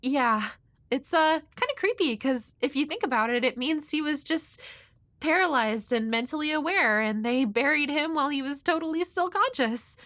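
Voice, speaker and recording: A woman's voice: sits high, animated, moderate pace, fairly bright tone, no room sound. A woman playing a shy, awkward, nerdy young woman next door in an audio roleplay, hesitant and rambling with a nervously apologetic manner. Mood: shyly eager. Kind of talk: intimate audio roleplay addressed to the listener.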